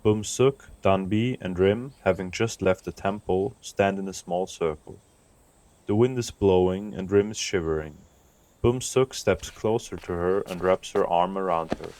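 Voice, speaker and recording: Deep-voiced man narrating. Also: unhurried, darkish tone. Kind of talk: scripted narration.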